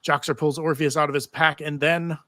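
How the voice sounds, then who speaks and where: Bright, dry-sounding male script narrator. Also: a little high, flat delivery, a little fast, dry recording. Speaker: narrator reading stage directions. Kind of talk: live table read narration of a TV script.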